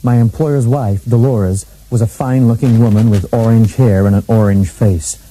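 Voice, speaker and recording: An actor playing a deadpan hardboiled bodyguard and assassin who narrates his own story in a comic radio play. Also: lowish voice, normal speed, natural voice, poor audio.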